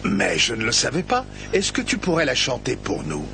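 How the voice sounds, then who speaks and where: Gentle old music teacher, calm, instructive, lively intonation, music behind. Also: male voice, medium pitch, normal speed, creaky, heavily acted. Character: wise, gentle older male music teacher. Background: background music score.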